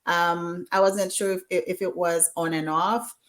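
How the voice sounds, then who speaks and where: Slow-talking Haitian woman in her forties. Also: lowish voice, flat delivery. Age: 40s. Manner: warm, chatty, mixes English with Haitian Creole, many ums. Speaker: guest. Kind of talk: casual interview chat.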